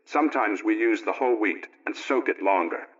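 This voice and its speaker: A man playing an earnest, folksy middle-aged family man, reading out his letter in a deliberate, plain-spoken way for 1920s radio. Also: fairly low pitch, close to an everyday voice. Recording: dull, lo-fi old radio recording.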